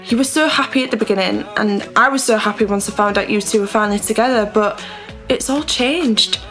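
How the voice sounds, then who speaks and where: A woman's voice: medium pitch, a little fast, fairly bright tone, soft background music, lightly acted. A young woman acting in a radio drama, playing a concerned, protective best friend, earnest and probing. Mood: concerned, insistent. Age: young adult.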